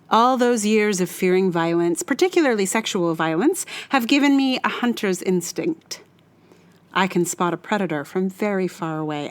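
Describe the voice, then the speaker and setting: A woman performing a scripted dramatic monologue in a deliberate, theatrical and menacing manner. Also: on the low side. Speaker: writer reading own monologue.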